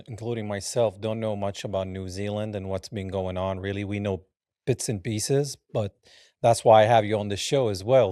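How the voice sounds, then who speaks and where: A man's voice: lowish voice, mellow, smooth, no room sound, clean studio sound. A man hosting a podcast, asking a guest curious, inviting questions. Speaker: podcast host. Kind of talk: interview questions.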